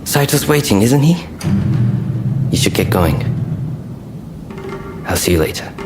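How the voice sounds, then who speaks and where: Ailing, gentle samurai, game cutscene; low, slow, polite and self-deprecating, loud music underneath. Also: male voice, sing-song. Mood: friendly, frank. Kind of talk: video game cutscene acting.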